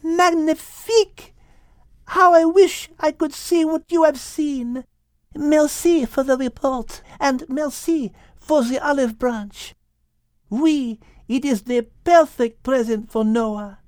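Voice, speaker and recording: A man's voice: extremely high pitch, slow, smooth, no echo, studio quality, pitched above the performer's own voice. A man voicing a dainty, affectionate little female animal with a French accent. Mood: fond, eager. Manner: affectionate, exclamatory.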